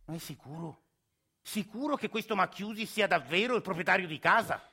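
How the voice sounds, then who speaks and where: A patronizing, skeptical officer, as a man plays him in a radio drama, soothing a complainant. He speaks in a somewhat high, bright and forceful voice. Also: lively intonation, brisk, husky, heavily acted. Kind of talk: radio theatre acting.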